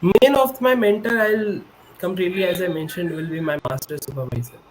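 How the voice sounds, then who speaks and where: A young man whose first language is Hindi speaks over a video call with a somewhat high voice. He explains things earnestly and often restarts his sentences, with a faint background hum. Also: a little fast. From India. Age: 20s. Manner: earnest, explanatory, frequent self-corrections. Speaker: guest, PhD student. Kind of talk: remote podcast interview. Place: indoor room with a high-backed chair.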